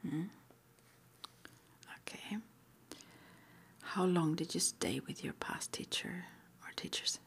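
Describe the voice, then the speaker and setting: A woman whispering an ASMR roleplay interview, playing a witch school interviewer with gentle, reassuring, hesitant phrasing. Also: lowish voice, slow, gravelly, pauses often.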